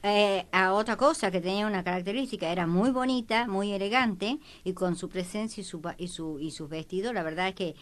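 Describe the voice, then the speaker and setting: A woman's voice: medium pitch, brisk, hardly any pauses. A woman talking in a rambling, repetitive way in a panel discussion. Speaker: panelist on a history programme.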